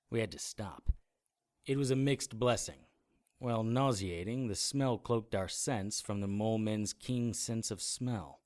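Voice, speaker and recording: Man as a low-voiced hardboiled detective narrator. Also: darkish tone, no echo, clean studio sound, lightly acted. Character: male supernatural detective narrating his grim adventure. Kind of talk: first-person scripted audio drama narration.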